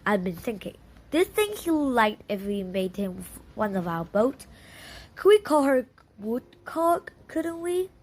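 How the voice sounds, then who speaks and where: A woman's voice: fairly high pitch, big pitch swings, unhurried, darkish tone. A woman reading the part of an eager, earnest young island boy in a storybook, plain-spoken and persuading. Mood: eager, thoughtful.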